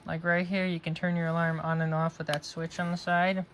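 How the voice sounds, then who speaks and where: A man's voice: fairly high pitch, little pitch movement, brisk, fairly bright tone. A man giving a casual, unscripted product review and demonstration. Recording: faint background noise.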